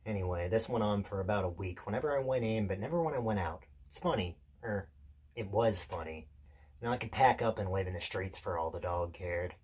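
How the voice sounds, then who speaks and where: A male actor performs an intense, solitary drifter telling a rambling story in a theatrical monologue. The voice sits low and has a husky grain. He confides in his listener with deliberate pauses and small self-corrections, always somewhat unsettled. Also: darkish tone. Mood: confiding, edgy.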